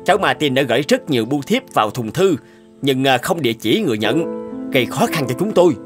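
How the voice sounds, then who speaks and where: A man's voice: mid-range voice, sing-song, fast talker, close to an everyday voice. Guy doing a polite matter-of-fact cartoon mailman, music underneath. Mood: formal complaint. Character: polite, formal middle-aged male postman. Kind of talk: Vietnamese cartoon dubbing.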